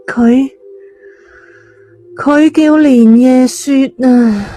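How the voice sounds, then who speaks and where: A woman's voice: high voice, extremely slow, mellow, soft background music, pitched above the performer's own voice. A woman voicing a volatile, anguished teenage girl in an audio story, with distraught outbursts. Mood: shocked, anguished.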